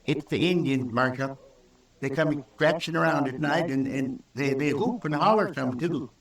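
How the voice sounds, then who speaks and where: An actor playing an earnest, simple frontier townsman in an old radio western, folksy and halting, in a roomy vintage recording. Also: fairly high pitch, recorded from a distance, close to an everyday voice. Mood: fearful, insistent.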